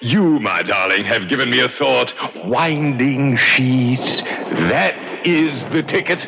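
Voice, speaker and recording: An actor playing a vain centuries-old English ghost in an old radio drama, speaking floridly and savoring every gruesome phrase. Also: male voice, mid-range voice, takes it slowly, gravelly, sharp tone, heavily acted. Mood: scheming, gleeful. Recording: muffled old radio recording with heavy noise.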